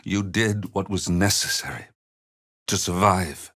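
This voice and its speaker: Low-voiced, weary, gentle older father. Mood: tender, reassuring. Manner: measured, earnest, formal phrasing. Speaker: voice actor in a video game. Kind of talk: dramatic fantasy game dialogue.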